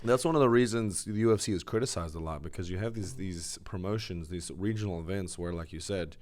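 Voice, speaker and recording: A man's voice: low-pitched, brisk, darkish tone. A man co-hosting a podcast, chatting casually and explaining sports details. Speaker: podcast co-host.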